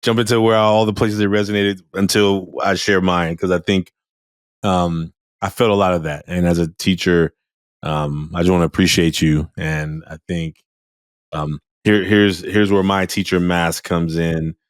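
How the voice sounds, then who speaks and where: A male teacher with a loud voice, talking candidly and telling lively stories in a conversation over a video call. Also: low voice, normal speed, clear, resonant tone, dry recording, studio quality. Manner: animated storyteller, acts out quoted students.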